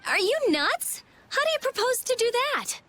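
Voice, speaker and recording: A woman voicing a sharp-tongued, clever teenage girl in a video game, brusque and sarcastic. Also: extremely high pitch, sing-song, normal speed, full character voice. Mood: scornful. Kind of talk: English video game voice acting.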